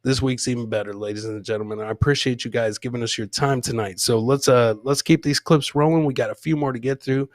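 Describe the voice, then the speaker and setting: A man's voice: mid-range voice, fairly even intonation, quick pace, few pauses, dry recording, studio quality. A man hosting a comedy show, casually commenting on clips with crude, mocking humour and repeating his punchlines.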